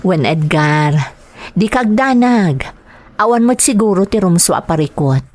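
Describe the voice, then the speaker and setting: A woman playing a caring, grateful wife and mother in an Ilocano drama, gentle and a little hesitant. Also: on the low side, animated, unhurried. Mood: caring, concerned. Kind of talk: Ilocano radio-style drama acting.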